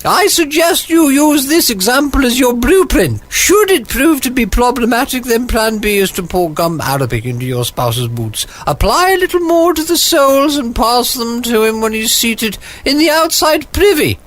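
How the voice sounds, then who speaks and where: Radio comedy: grand, boozy upper-class English agony aunt, high-pitched, imperious, theatrical. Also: female voice, sing-song. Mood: pompous advising. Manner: pompous, theatrical, brisk, commanding. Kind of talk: 1940s-set radio comedy acting.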